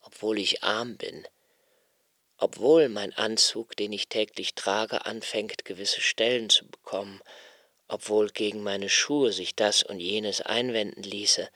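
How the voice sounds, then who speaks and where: An actor playing the earnest, searching inner voice of a poor writer, rhetorical, in a literary radio play. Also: male voice, on the low side, steady tone, unhurried, sharp tone, no echo, studio quality. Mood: subdued. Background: music.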